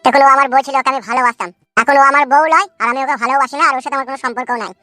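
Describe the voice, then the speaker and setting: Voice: sits high, fairly even intonation, racing, sharp tone, dry recording, faint music behind. Someone voicing a self-pitying jilted husband in a cartoon. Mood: aggrieved. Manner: long wailing complaints full of florid images. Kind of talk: cartoon dub acting.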